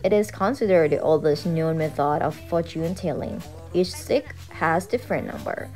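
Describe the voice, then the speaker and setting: A woman's voice: low-pitched, normal speed. A young woman from Thailand with a Thai accent, chatting casually through a travel vlog. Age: young adult. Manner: chatty, upbeat, with frequent "alright" and "you know". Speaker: vlogger. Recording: voice-over with loud background music.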